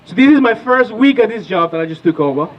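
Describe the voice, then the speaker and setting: A man's voice: sits high, animated, fast, highs cut off, slight background noise. A man in his thirties from Peru, giving an impassioned rally speech as a political organizer and asking the crowd questions. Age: 30s. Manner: rousing, asks the crowd questions. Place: outdoor rally.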